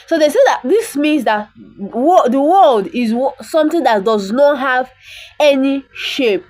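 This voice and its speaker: A woman's voice: on the high side, darkish tone. A woman, an Igbo speaker, teaching the language by reading a bible verse and repeating words for pronunciation. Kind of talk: reading and explaining a bible text.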